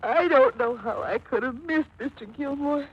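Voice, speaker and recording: A high-voiced, kittenish femme fatale. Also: female voice, moderate pace, husky. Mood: playful, greedy. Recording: dull, noisy 1940s radio recording.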